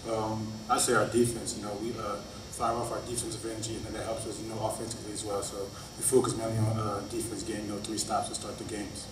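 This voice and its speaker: A man in his early twenties, a college athlete, giving measured, plain-spoken answers at a press conference. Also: lowish voice, level tone. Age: early 20s. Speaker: college basketball player. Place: press conference room, indoors. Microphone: table microphone on stand. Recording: echoey room, distant mic, clear noise.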